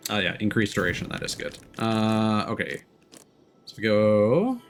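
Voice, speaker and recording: A man's voice: fairly low pitch, lively intonation, unhurried, soft background music. A man giving casual, off-the-cuff commentary as he streams a video game. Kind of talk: casual let's-play commentary.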